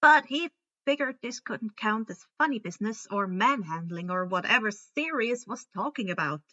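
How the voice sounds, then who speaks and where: A woman reading a story aloud in expressive audiobook-style narration. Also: mid-range voice, normal speed, lo-fi sound. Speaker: story reader.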